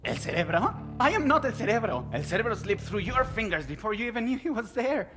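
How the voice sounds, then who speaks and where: A woman's voice: fairly high pitch, big pitch swings, quick pace, music in the background. A woman voicing an arrogant, philosophical cartel captive in a game cutscene, condescending and theatrical in delivery. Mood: cold, defiant. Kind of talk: dramatic video game cutscene acting.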